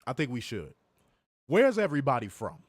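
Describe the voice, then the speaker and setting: Young male stream host, hoarse, profane and joking, mid pitch, dry close mic. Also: wildly swooping pitch, normal speed, dry recording. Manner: profane, joking, talks straight to viewers. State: hoarse, recovering from illness. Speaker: co-host of a wrestling review stream. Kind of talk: casual live-stream chat. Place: home, in Chicago.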